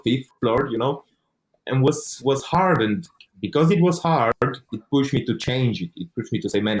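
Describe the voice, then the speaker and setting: A man's voice: medium pitch, rough recording. A young man telling his own story in an interview, speaking English as a second language, earnest, with frequent restarts and casual swearing. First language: not English. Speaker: interview guest.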